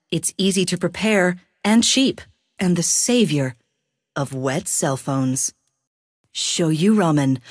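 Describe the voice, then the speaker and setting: Woman, slightly low voice, deadpan and sarcastic, game narrator. Dry booth sound. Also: dry recording. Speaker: in-game food description narrator. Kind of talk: scripted game voice lines. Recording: dry studio recording.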